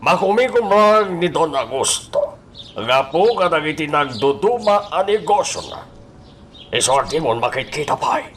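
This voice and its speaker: A man with an Ilocano Filipino accent acting a guarded, fatherly crime boss in a radio drama, with halting, drawn-out delivery. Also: fairly high pitch, expressive, a bit bright, a little hiss or hum. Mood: commanding.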